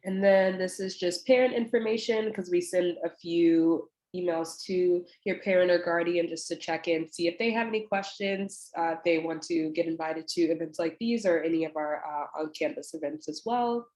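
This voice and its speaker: A woman's voice: on the low side, moderate pace, few pauses, darkish tone, reverberant, poor audio. A young woman, an admissions representative with a stuffy, congested nose, casually walks viewers through an online application with lots of fillers. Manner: casual and friendly, many fillers. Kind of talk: walking through an online application on a screen share. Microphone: computer mic on a video call.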